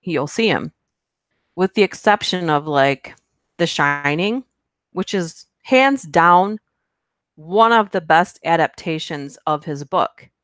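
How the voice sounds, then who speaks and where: A woman's voice: on the low side, lively intonation, takes it slowly, a bit bright, dry recording. A woman hosting a live stream, chatting casually with viewers, reading their comments and rambling with lots of fillers and giggles. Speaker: horror narration channel host.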